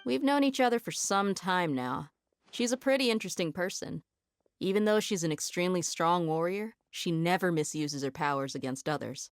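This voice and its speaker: A woman's voice: on the low side, expressive, moderate pace, clear, resonant tone. An actress playing an easygoing, worldly mercenary woman in a video game, casual and wry. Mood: relaxed.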